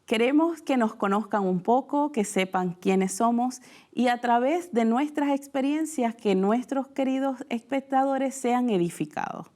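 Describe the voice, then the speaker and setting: Venezuelan woman hosting a faith talk show, fairly high-pitched, leans in with questions. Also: unhurried. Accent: Venezuelan Spanish. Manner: warm conversational questioning, frequent "mira". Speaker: host. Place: TV studio.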